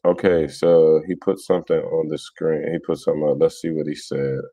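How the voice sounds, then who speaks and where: A man's voice: extremely low pitch, fairly even intonation, brisk, dark tone, no room sound. A man with a Philadelphia accent hosting a casual live religious debate, answering viewers in a blunt, colloquial, mocking way. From Philadelphia, United States. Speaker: livestream host.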